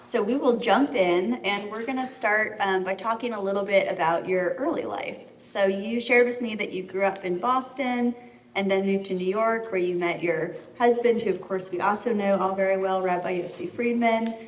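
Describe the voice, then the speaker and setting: A woman's voice: mid-range voice, moderate pace, slight background noise. A woman hosts a live interview at a community center. She talks in a friendly, easygoing way, full of "you know." Her voice is mid-range and comes from a distance through an echoey room. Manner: friendly, relaxed, many fillers like you know. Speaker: interviewer, series host. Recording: echoey room, far from mic.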